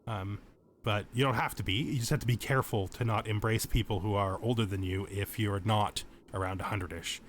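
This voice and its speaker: A man's voice: on the low side, steady tone, a little fast, a little hiss or hum. A man giving casual tutorial commentary on a game as its host, explaining things and thinking aloud as he goes, often correcting himself. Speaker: let's play host.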